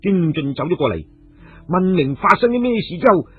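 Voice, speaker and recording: A man's voice: high voice, sing-song, a little fast, breathy edge. A man with a Hong Kong Cantonese accent narrating an audio novel as a dramatic storyteller. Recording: tinny radio-like sound with music behind. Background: music.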